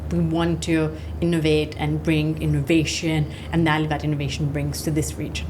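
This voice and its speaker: A woman's voice: low-pitched, flat delivery, moderate pace, noisy background. A woman hosting a podcast interview in a conversational way, putting questions to a guest.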